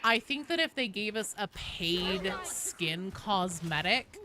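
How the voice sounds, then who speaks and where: A woman's voice: mid-range voice, lively intonation, takes it slowly, background noise. A woman chatting casually and swearing freely while she live streams a game. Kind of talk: casual live gaming chat.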